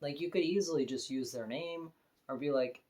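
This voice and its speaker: A woman with a deep voice, talking frankly and casually to camera in an opinion vlog, now and then laughing softly. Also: normal speed. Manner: frank, conversational, with soft laughs. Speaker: vlogger.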